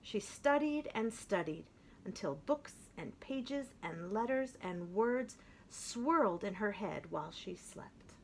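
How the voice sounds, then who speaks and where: A woman reading a children's picture book aloud in an expressive way, slowing down to stress key phrases. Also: mid-range voice, slight background noise, rough recording. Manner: expressive, with slowed emphatic phrases. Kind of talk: storybook read-aloud.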